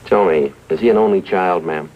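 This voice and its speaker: Male actor as low-voiced American detective sergeant, deadpan, clipped, stern, muffled vintage TV soundtrack. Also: moderate pace, close to an everyday voice. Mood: firm, polite. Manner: clipped, matter-of-fact, deadpan. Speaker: narrator and lead police sergeant. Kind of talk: 1950s police drama acting and narration. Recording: muffled 1950s TV soundtrack, music underneath.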